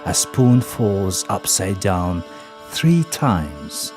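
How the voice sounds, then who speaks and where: Man reading an old epic tale, deepish voice, solemn n slow, music loud behind him. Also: sing-song. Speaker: narrator. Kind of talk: scripted storytelling narration of an animated folk epic.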